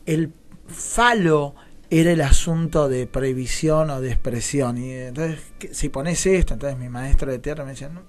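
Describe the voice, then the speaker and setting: A man's voice: mid-range voice, moderate pace. A man with a Rioplatense Argentine accent, giving reflective, digressive answers as a guest theatre director, often checking in with tag questions. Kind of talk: reflective radio interview talk.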